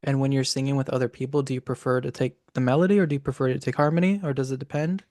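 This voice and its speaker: A man's voice: mid-range voice, fairly even intonation, rapid-fire, darkish tone, dry recording. A young man hosting an interview, asking short, direct questions about singing in a casual chat. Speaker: interview host and student singer.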